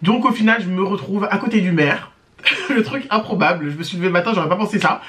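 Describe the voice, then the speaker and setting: A woman's voice: medium pitch, fast, a bit bright. A woman telling a personal story to her viewers in a casual, chatty way, often hesitating with fillers and restarts. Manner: chatty, addresses viewers directly. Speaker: storytime vlogger.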